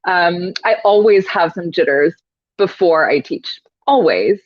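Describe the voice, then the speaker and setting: A woman's voice: fairly low pitch, expressive, a little slow, no echo. A woman who teaches yoga, chatting casually in an interview, laughing often and saying "like" a lot. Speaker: yoga teacher, podcast guest. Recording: dull, dry lo-fi sound.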